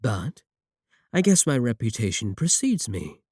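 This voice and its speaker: A man playing a courteous, manipulative warlock shopkeeper in a roleplay audio, coaxing and flattering his guest with polite, unhurried talk. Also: medium pitch, no room sound, clean studio sound. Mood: courteous, welcoming. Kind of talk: second-person roleplay audio acting.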